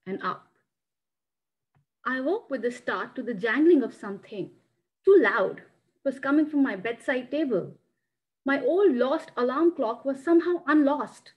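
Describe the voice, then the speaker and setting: A woman, an amateur storyteller on a video call with dull, lo-fi sound. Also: fairly high pitch. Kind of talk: reading a written first-person story aloud.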